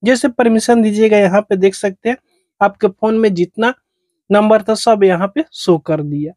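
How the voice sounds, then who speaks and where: A man's voice: high voice, moderate pace, darkish tone, dry recording, clean studio sound. A man narrates a step-by-step phone tutorial as its host, in repetitive, instructional phrasing.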